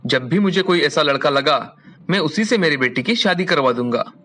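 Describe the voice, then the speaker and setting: A man voicing a wealthy, earnest merchant father in a formal, deliberate way for an animated moral story. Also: fairly high pitch, soft background music. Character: wealthy merchant father, earnest and concerned about his daughter.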